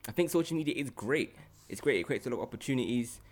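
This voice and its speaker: A British man with a London accent hosting a casual podcast, talking in an animated, slangy way and repeating himself for emphasis. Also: mid-range voice, quick pace. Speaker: podcast host.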